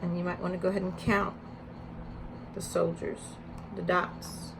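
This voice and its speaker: A woman's voice: fairly low pitch, flat delivery, moderate pace, gravelly. Woman teaching through a read-aloud, noisy recording. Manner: instructional, emphatic when reading aloud. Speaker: early childhood educator.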